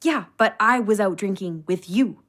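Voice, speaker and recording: A woman's voice: mid-range voice, normal speed, a bit bright, natural voice. A woman playing a hurt, anxious, self-blaming young woman in an audio drama, emotional and fretful. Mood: upset.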